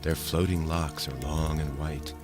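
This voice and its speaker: A man reciting a narrative poem in storybook style, with a measured verse delivery. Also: a bass voice, steady tone. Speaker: poem reader. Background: music.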